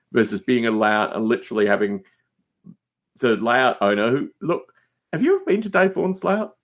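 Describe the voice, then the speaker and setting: A man chatting casually as a podcast guest, telling digressive anecdotes with emphatic asides. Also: medium pitch.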